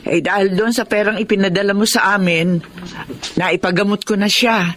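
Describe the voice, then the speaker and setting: A woman's voice: medium pitch, fairly even intonation, normal speed, a bit bright, slight background noise, natural voice. A Filipino woman playing a caring, coaxing middle-aged provincial mother and wife in a radio drama. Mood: fond, coaxing. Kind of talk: Tagalog radio drama acting.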